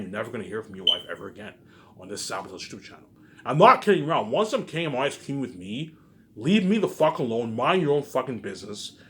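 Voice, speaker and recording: Man, rapid speech, medium pitch, confrontational video rant, repetitive, sweary, faintly noisy recording. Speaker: online video maker. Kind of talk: unscripted rant to camera.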